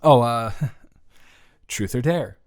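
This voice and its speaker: A man's voice: medium pitch, drawn-out words, a little mellow, clear, resonant tone. A man playing an earnest, flustered ex-boyfriend in an intimate improvised audio roleplay, stammering and trailing off as he talks. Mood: nervous, reassuring.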